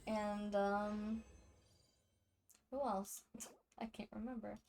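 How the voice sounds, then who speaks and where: A woman's voice: medium pitch, fairly even intonation, very slow, gravelly, darkish tone, slight background noise. A woman livestreamer giving casual, chatty gameplay commentary to her viewers, speaking off the cuff. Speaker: game livestreamer.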